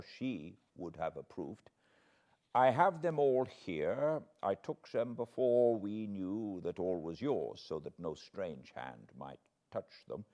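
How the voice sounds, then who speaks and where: A man playing a grave, kindly old foreign professor in an audiobook, speaking gently and solemnly in halting phrases. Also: deep voice, exaggerated voice.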